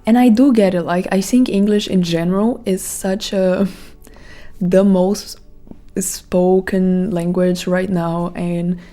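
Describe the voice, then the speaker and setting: A woman's voice: lowish voice, steady tone, takes it slowly, mellow. A woman in her thirties with a Brazilian Portuguese accent, hosting a podcast as a casual, reflective monologue full of "like" fillers. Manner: reflective, rambling with many "like" fillers. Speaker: podcast host.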